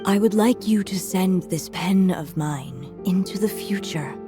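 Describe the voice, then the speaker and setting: A woman playing a frail, elderly writer in a video game story, speaking in a measured, reflective and solemn way, resolute and wistful. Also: medium pitch, over music, lightly acted. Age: older.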